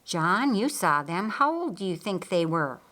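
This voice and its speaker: A woman plays a holy teacher, a calm man of quiet authority in an audiobook story. The delivery is slow and measured, patiently questioning and instructing. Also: fairly low pitch. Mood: calm, probing. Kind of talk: audiobook character dialogue.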